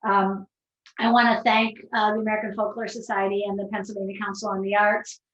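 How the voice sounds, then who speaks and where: A woman's voice: medium pitch, level tone, brisk, highs cut off. A woman moderator giving an enthusiastic live introduction from a room in a home. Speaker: moderator, facilitator. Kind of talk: live introduction to a group reading. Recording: echoey room pickup.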